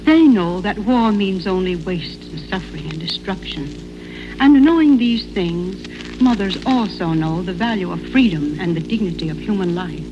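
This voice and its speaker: Older American woman, lively intonation, vintage radio. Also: lowish voice, moderate pace, music in the background. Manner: formal, oratorical. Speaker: radio guest, singer. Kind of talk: scripted 1940s radio speech. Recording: muffled vintage 1940s radio broadcast.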